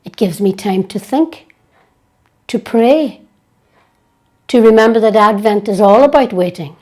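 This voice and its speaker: A woman leading a guided prayer meditation in a gentle, hushed, unhurried way with long pauses. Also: medium pitch, expressive.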